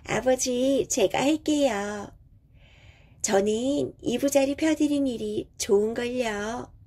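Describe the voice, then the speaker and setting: Woman playing a sweet young daughter, much higher pitch, girlish, earnest, polite, storybook character. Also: takes it slowly, mellow. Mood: sweet, eager. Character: sweet, dutiful young daughter of village father.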